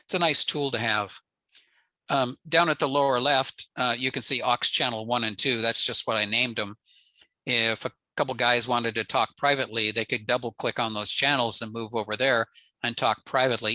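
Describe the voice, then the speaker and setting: Bright, crisp-edged older American man presenting. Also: medium pitch, no room sound, poor audio. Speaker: presenter, amateur radio operator. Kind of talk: informal slide presentation. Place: indoors on a video call.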